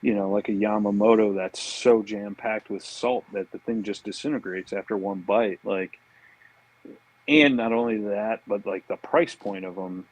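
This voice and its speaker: A man talks fishing in a casual, laid-back style full of slang. His voice is fairly low and husky, and he often repeats himself as he goes. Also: moderate pace, no echo, poor audio. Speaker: podcast guest, fishing tackle marketer.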